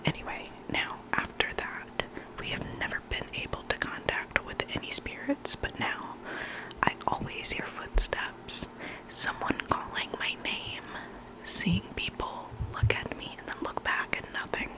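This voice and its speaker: An ASMR host reading creepy listener stories in a soft, breathy, intimate whisper with deliberate phrasing. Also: low-quality recording.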